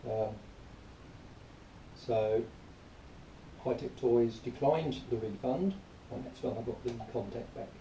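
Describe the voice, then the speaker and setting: Dark-voiced man, distant in an echoey room. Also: medium pitch, slow pace, long pauses. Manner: rambling, matter-of-fact grumbling. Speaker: product reviewer. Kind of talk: informal spoken review, partly reading aloud. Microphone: camera at a distance. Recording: distant quiet mic, roomy echo, faint noise.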